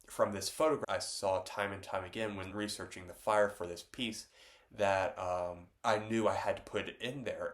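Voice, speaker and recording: A man, congested from a stuffy nose, talking loosely about his own artwork, with many pauses and restarts. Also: on the low side. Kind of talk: casual reflective talk to camera.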